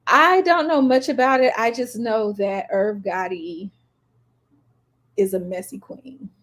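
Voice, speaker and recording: A woman's voice: on the high side, a little slow, fairly bright tone. An American woman giving candid, emphatic opinions in slangy, casual podcast chat as a co-host.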